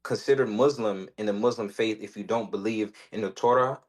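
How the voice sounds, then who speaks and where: Calm, low-voiced man from West Africa. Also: brisk. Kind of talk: casual debate call.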